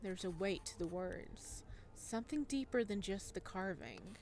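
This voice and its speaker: A woman reading visual novel narration aloud as a gaming host, with an expressive delivery that can break into reactions. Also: medium pitch, measured pace, a little hiss or hum.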